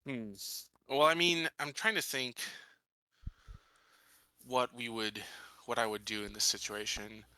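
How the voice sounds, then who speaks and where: A man's voice: mid-range voice, steady tone, unhurried, pauses often, bright, forceful tone, clean tone, no room sound. A man taking part in a tabletop roleplaying game, talking it through casually as a player.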